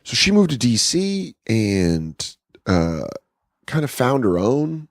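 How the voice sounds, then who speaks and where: A man's voice: mid-range voice, very animated, takes it slowly, dry recording, studio quality. A man in his thirties, a comedian guest, telling candid, profane stories in casual podcast chat from an apartment. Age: 30s. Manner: candid, profane storytelling with acted-out quotes.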